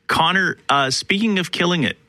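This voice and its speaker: A man's voice: sits high, moderate pace, no echo. A man hosting a radio news hour and interviewing guests in an upbeat, joking way. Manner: upbeat, jokey, animated questioning. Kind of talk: broadcast news and interview chat.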